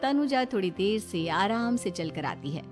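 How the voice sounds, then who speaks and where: A woman narrating an animated moral story in an expressive storytelling style. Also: medium pitch, unhurried, soft background music.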